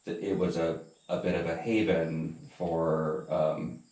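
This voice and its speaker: Deep-voiced male interviewer in an echoey room. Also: little pitch movement, takes it slowly, darkish tone, distant mic. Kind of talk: casual interview chat.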